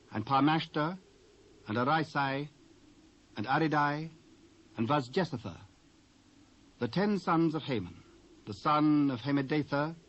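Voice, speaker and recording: British male scripture narrator, slightly high voice. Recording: dull lo-fi cassette transfer.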